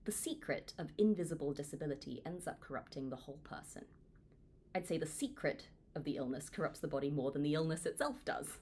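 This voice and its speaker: A woman in her twenties from Hong Kong, reading her own book aloud as an earnest, lively audiobook narrator. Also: fairly quick, slightly rough. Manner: earnest, lively reading with wide pitch swings. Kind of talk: audiobook read-along narration.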